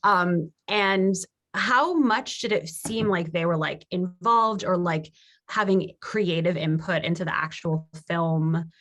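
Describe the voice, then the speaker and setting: A woman's voice: on the low side, takes it slowly, fairly bright tone. A woman in her thirties co-hosting a podcast, asking questions eagerly like a gushing fan. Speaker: podcast co-host. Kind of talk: casual interview chat.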